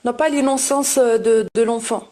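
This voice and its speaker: A woman hosting a live discussion, talking briskly and directly as she questions her guests. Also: on the high side, steady tone, warm tone. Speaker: host.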